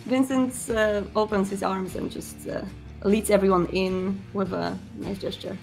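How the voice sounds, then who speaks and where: A woman's voice: medium pitch, a little slow, gravelly, darkish tone, soft background music, poor audio. A woman improvising narration for her character in a tabletop roleplaying game, searching for words as she goes. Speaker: roleplay player.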